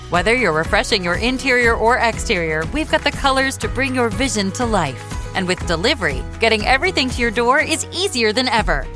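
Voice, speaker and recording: A woman reading a radio commercial in a polished sales delivery. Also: a little high. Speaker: commercial announcer. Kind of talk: radio ad read. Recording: music bed behind the voice.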